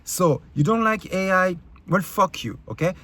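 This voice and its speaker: A man's voice: high-pitched, expressive, normal speed, fairly bright tone. A man with a French accent, a YouTube creator ranting angrily and bluntly to his viewers, full of swearing, fillers and restarts. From France. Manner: blunt, profane, heated, many fillers and restarts. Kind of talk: angry rant to camera.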